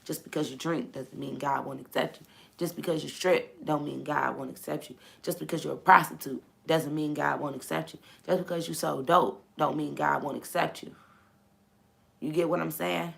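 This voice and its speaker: Hoarse woman with a gravelly low voice. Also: steady tone, moderate pace. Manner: repetitive, emphatic, preachy. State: voice gone, hoarse. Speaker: host. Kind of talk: casual faith talk livestream.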